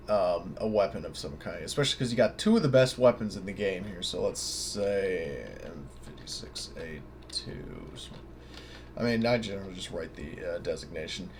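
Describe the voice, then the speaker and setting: A man giving an informal, off-the-cuff tabletop game tutorial, rambling casually and thinking aloud over a noisy recording. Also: lowish voice. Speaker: tabletop game guide presenter. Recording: noticeable background noise.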